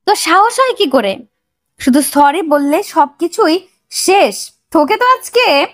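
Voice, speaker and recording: A woman's voice: very high-pitched, big pitch swings, slow, fairly bright tone, heavily acted. A woman voicing a haughty young female student bully in an audio story, scolding and demanding. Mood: angry, threatening.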